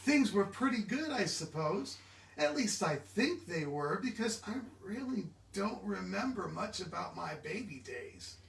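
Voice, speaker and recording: A man giving an animated, expressive children's storybook read-aloud, engaging his listeners directly. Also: high-pitched, measured pace, vocal fry. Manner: expressive, interactive, asks listeners questions. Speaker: children's book reader. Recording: echoey room, distant mic.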